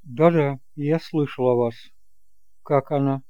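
Male actor as a nervous accused physician, outwardly calm and resigned, muted tone, homemade detective radio play. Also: mid-range voice, slow pace, clear, resonant tone, no echo, lo-fi sound, natural voice. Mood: guarded. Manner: resigned, tense under forced calm. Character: nervous doctor suspected of poisoning, outwardly calm. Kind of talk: amateur audio drama acting.

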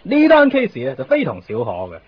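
Casting: bumbling, self-important Hong Kong constable, swooping delivery, melodramatic, dull radio-drama sound. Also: male voice, medium pitch, huge pitch swings, normal speed. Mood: officious, dramatic. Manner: officious, melodramatic, peppered with English words. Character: excitable, self-important male police constable, overdramatic and bumbling. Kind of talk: comic radio drama acting.

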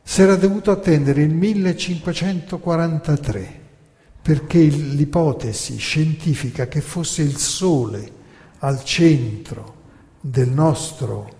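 A man narrating history as scripted dramatic storytelling, in a theatrical, measured way with rhetorical pauses and emphasis. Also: a little high, warm tone. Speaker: narrator and author of a radio theatre monologue. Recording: long reverberant hall sound.

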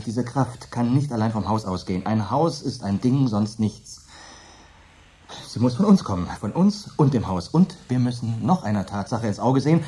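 A brooding, searching man caught in an eerie house scene, played by an actor. His voice is mellow and dark but moves lively up and down as he presses his friends. A radio-drama delivery, intense and accusing. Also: mid-range voice, natural voice. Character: Ed, anxious brooding homeowner trapped with friends. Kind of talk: radio play acting.

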